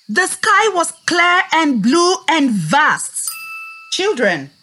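A woman with a high, very lively voice teaches young children through a story. She speaks extremely slowly and deliberately, as a teacher would, with music behind her. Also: slight background noise. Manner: slow, deliberate, expressive, prompting listeners to repeat. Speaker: teacher leading a children's read-aloud lesson.

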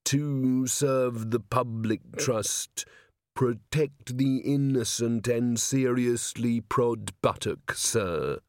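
A man's voice: medium pitch, dry recording. A man voicing a huge male golem in an audiobook, solemn and literal-minded, speaking in formal, ponderous phrases. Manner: formal, deliberate, ponderous wording. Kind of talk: audiobook character voice.

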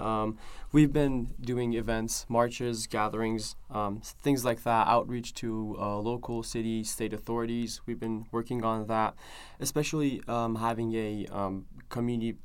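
A young man from Iran answering interview questions, halting, with many fillers and restarts. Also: mid-range voice, clean tone. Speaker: organizer.

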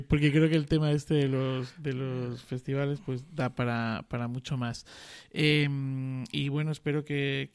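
Gravelly-voiced man hosting a podcast. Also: medium pitch, darkish tone, no echo. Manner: easygoing, hesitant, wraps up topics. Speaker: podcast host. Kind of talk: casual discussion.

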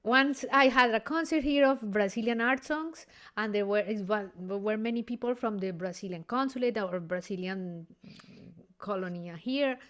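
Effusive singer searching for words. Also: female voice, mid-range voice, moderate pace. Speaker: classical singer. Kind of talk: casual conversation.

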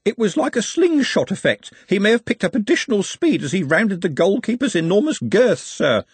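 A man's voice: high voice, big pitch swings, normal speed, breathy edge, dry recording, pitched above the performer's own voice, exaggerated voice. Man doing a polite earnest young assistant. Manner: polite, deferential. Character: earnest, mild young male assistant with a pencil.

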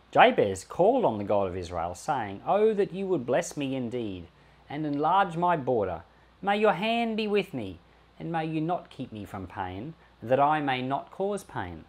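A man reads scripture aloud in a mid-pitched voice with lively rises and falls. His delivery is measured and deliberate, setting out each phrase and name separately, as a pastor would on a podcast.

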